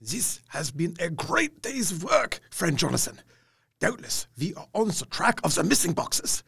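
An actor playing an elderly, earnest and resolute professor with a raspy, creaky voice in an audio drama. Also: male voice, high voice, big pitch swings, measured pace, fairly bright tone, dry recording, studio quality, full character voice.

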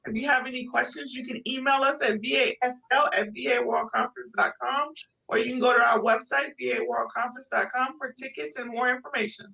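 Woman co-host, deliberate announcement read, gravelly and bright, distant mic. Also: fairly high pitch, steady tone. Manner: slow, deliberate. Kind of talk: reading an announcement.

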